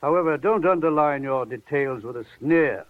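An actor playing a pompous, haughty, evasive agency boss with affected formal speech in 1940s radio drama style. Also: male voice, fairly high pitch, moderate pace, phone-quality sound, close to an everyday voice. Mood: haughty, mocking. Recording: band-limited vintage radio recording.

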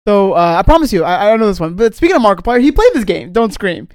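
Giggly, excitable gaming podcast co-host, casual delivery with repeated phrases, dry recording. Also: medium pitch, fast, no room sound.

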